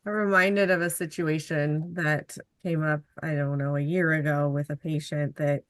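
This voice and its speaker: A woman's voice: low voice, little pitch movement, no echo. A woman moderating a webinar panel, asking questions in a conversational way with frequent "um"s. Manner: conversational, fills pauses with "um".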